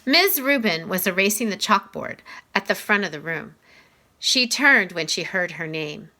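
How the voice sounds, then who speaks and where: Animated, bright-voiced woman reading a story. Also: medium pitch, measured pace. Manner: animated, dramatized dialogue with emphatic delivery. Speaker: reader reading a children's novel aloud. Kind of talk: expressive read-aloud storytelling.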